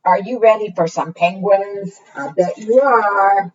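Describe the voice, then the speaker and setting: A woman's voice: medium pitch, measured pace, muffled recording. A woman hosting preschool storytime, reading and teaching in a sing-song way, often putting questions to small children. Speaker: library storytime host.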